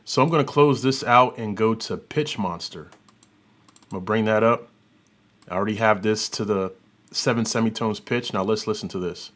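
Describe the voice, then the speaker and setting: Guy with a lowish voice walking thru audio software, off the cuff, kinda thinking out loud. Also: moderate pace. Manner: unscripted, thinking aloud while working. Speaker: host of an audio software tutorial. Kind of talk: informal demonstration and review commentary.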